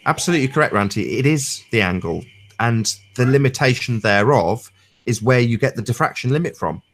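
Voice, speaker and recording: A man's voice: medium pitch, expressive, fairly quick. A man on a discussion panel, speaking emphatically in wordy, technical phrases during an informal debate.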